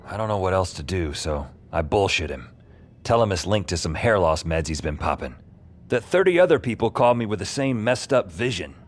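An actor playing a panicky, sardonic office worker who narrates an audio drama in the first person. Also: male voice, deep voice, sing-song, a little fast, a little hiss or hum. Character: jittery, wisecracking office man hiding a secret. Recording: music bed under voice.

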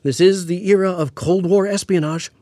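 Male actor playing astrophysicist radio guest, higher-set voice, dry close sound, pedantic, patient, precise, audio drama. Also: fairly even intonation, dark tone, no room sound, close to an everyday voice. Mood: measured, grave. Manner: pedantic, measured, hesitant with hedging asides. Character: learned male astrophysicist, patient, precise, mildly amused Cold War expert.

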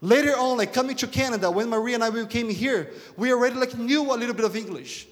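A man's voice: very high-pitched, fast talker. A man from Brazil with a Brazilian accent and Portuguese as his first language, preaching a sermon in an emphatic, repetitive way with rhetorical questions. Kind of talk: sermon to a congregation. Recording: reverberant hall sound.

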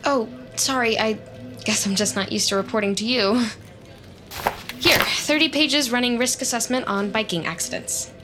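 A woman's voice: on the high side, music in the background, close to an everyday voice. An actress playing a lonely, nosy, awkward woman who works as a data analyst, in a scripted audio drama. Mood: resentful. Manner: awkward, halting, wry, muttering to herself. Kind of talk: scripted audio drama, dialogue and inner monologue.

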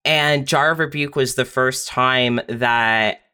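A writer talking frankly and casually as a podcast guest, animated when quoting others. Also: medium pitch, takes it slowly, smooth. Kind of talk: candid casual conversation.

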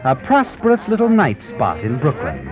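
An actor playing a sardonic, all-knowing narrator of a 1940s radio mystery, taunting the heroine with dramatic needling questions. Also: male voice, mid-range voice, huge pitch swings, moderate pace, dull audio. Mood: sardonic, urgent. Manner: dramatic second-person address, needling rhetorical questions. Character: sardonic, omniscient male narrator taunting the story's guilty heroine. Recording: vintage 1940s radio with music behind.

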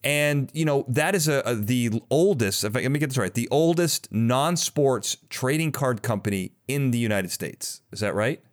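A man's voice: mid-range voice, lively intonation, normal speed, few pauses. A man hosting a casual interview, talking in a rambling way like an eager fan. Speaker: podcast host, interviewer.

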